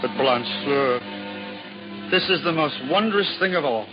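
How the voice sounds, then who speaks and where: A humble devout juggler. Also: male voice, a little slow. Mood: awed. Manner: earnest, self-deprecating, lively swings in pitch. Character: humble simple-hearted male juggler, devout. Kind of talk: 1950s radio drama acting. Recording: dull old radio broadcast with hall reverb and music.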